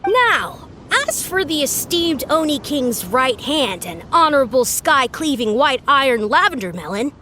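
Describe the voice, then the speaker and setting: High-voiced, grandiose young warrior. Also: female voice, sing-song, measured pace, few pauses, pushed and bright, a little hiss or hum, exaggerated voice. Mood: earnest, grand. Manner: formal, pompous, theatrical. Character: earnest, grandiose young warrior devoted to beetle battling. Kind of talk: animated video game voice acting.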